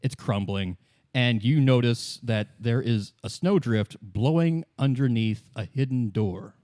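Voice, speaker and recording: A man's voice: mid-range voice, measured pace, darkish tone, no echo. Casual man game master, dry close sound. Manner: conversational, colloquial. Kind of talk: casual improvised narration of a tabletop-style game.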